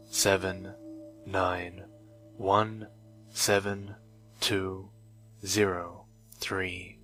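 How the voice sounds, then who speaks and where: A man with a deep, low voice reads a scripted creepypasta. His phrasing is measured and deliberate, with pauses between phrases. Music plays behind him, and an old microphone adds an odd noise. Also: a bit bright. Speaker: horror story narrator. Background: background music.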